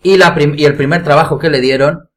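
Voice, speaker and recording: A man's voice: a little high, fairly even intonation, a little fast, a bit bright. A man with a Spanish accent from Spain, telling an anecdote in a casual group podcast chat. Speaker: podcast co-host.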